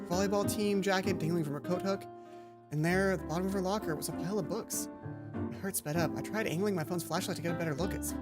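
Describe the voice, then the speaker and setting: A woman hosting a let's play, mixing casual, sweary commentary with reading a visual novel's narration aloud. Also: on the low side.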